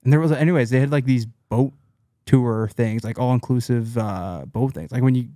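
A young adult man, a guest on a podcast, talking casually in a hesitant, halting way. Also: mid-range voice, dark tone, no room sound, clean studio sound. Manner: hesitant, halting, many restarts and fillers. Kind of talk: casual chat. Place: small cozy studio room, indoors. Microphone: studio podcast mic on boom arm.

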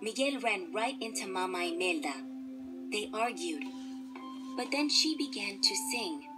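A woman's voice: medium pitch, expressive, a little slow. A woman narrating a children's story from a script, as a read-along storybook narrator. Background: instrumental music.

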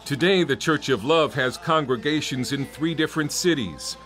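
A man narrating a scripted television news report in a measured reporter's delivery. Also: a little high, a bit bright, faint music behind.

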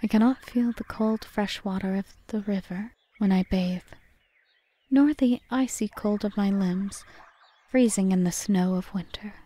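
Woman acting a soft, mellow forest witch. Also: mid-range voice, natural voice. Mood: solemn, wistful. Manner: measured, formal, often whispery delivery. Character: female yandere forest witch, calm, calculating, solemn. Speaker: audio roleplay performer. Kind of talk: ASMR roleplay monologue.

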